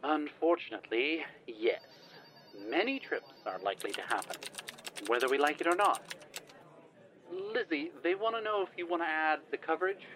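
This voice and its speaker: A man's voice: on the high side, expressive, moderate pace, fairly bright tone, poor audio. An actor playing a polite, flustered older male scientist in an audio drama, speaking formally with hesitant, wry phrasing. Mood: resigned. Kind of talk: audio drama phone-call acting.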